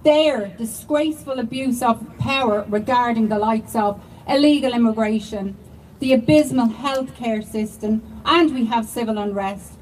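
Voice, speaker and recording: A woman addresses a rally with indignant emphasis. Her slightly high voice comes from some distance from the mic, over faint outdoor noise. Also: normal speed, darkish tone. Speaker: rally speaker.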